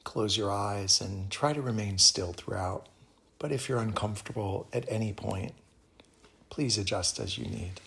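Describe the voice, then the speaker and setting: A man leading a guided meditation as a yoga teacher, speaking calmly and soothingly in measured phrases with pauses. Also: fairly low pitch, creaky.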